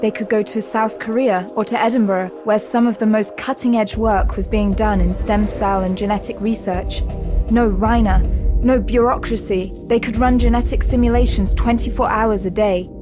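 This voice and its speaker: An actress as suspenseful thriller narrator, music swelling. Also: female voice, medium pitch, fairly even intonation, normal speed, close to an everyday voice. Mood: tense. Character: grave suspenseful female storyteller of a sci-fi thriller. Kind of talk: dramatic scripted narration of an audio drama. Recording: prominent dramatic music under the voice.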